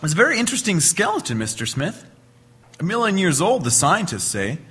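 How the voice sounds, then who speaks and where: An actor playing a confident, accusing police inspector in an old-time radio crime drama, insistent, wry and theatrical. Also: male voice, sits high, sing-song, fairly quick, pushed and bright, breathy edge, in a large hall, faint music behind, exaggerated voice.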